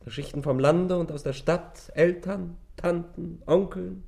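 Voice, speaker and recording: An actor playing a cynical, evasive lover in a German radio drama, speaking with ironic eloquence in a gravelly voice. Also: male voice, sits high, unhurried, mellow. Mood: evasive, wry. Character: world-weary male lover, cynical and evasive.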